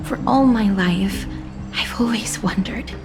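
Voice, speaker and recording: An actress playing a gentle, solemn young woman cursed by death in a video game, speaking softly and formally with measured courtesy. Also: medium pitch, over music, lightly acted. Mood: hesitant, earnest. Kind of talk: scripted video game dialogue.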